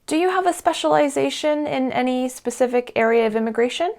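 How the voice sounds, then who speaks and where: A woman's voice: high-pitched, fairly even intonation, a little fast, a little mellow. A woman hosting the show, introducing and questioning her guest in an upbeat, welcoming way. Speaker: show host. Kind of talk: scripted interview introduction.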